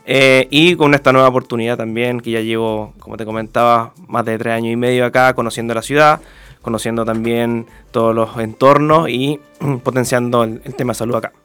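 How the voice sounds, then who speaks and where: A young man with a Chilean accent answering interview questions in a polite, measured, explanatory way. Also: medium pitch, fairly even intonation, fairly bright tone, smooth, soft background music. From Viña del Mar, Chile. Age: young adult. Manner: polite, measured, explanatory, hedging with set phrases. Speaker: interview guest, clinic commercial manager.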